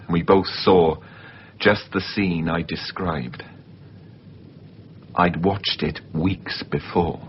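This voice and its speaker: An actor playing an obsessive, unstable man in a radio drama, speaking haltingly and intensely. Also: deep voice, fairly even intonation. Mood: brooding. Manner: halting, repeating words, intense. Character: obsessive, unstable man who confuses films with his own memories. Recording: dull recording with faint background noise.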